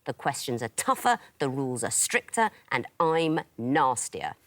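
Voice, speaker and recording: A woman's voice: rumbling low, big pitch swings, a little slow, fairly bright tone. A woman hosting a studio quiz show, delivering scripted lines with a dry, teasing edge. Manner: arch, teasing, crisp delivery. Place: television studio.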